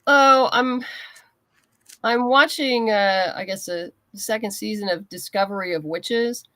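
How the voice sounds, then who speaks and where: A woman guest in a casual interview, chatting in a halting way as she thinks aloud. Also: medium pitch, pushed and bright, dry recording. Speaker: interview guest, comics writer and creator.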